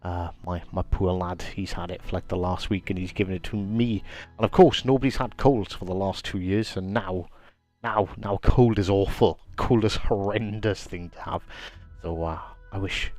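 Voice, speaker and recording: A man's voice: on the low side, darkish tone. A man, a little congested from a cold, chatting casually as he streams a game and greets viewers by name. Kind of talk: casual live-stream commentary.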